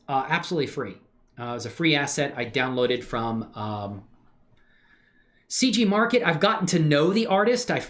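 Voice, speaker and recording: A man's voice: a little high, a bit bright. A middle-aged man chatting informally and enthusiastically as he hosts a casual livestream demonstration. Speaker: livestream host and hobby animator.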